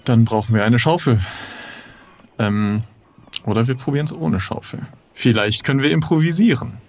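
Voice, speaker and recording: A man with a medium-pitched voice leads an improvised roleplay. He talks in a hesitant way, working out each step aloud as he goes. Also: normal speed, a little mellow. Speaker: player leading an improvised pirate adventure game. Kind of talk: improvised tabletop roleplay talk.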